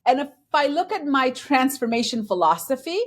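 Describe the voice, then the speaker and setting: A woman's voice: sits high, big pitch swings, normal speed. A woman from Bangladesh, a guest in a conversational interview, speaking earnestly and reflectively and acting out quoted exchanges. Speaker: guest, finance and transformation executive.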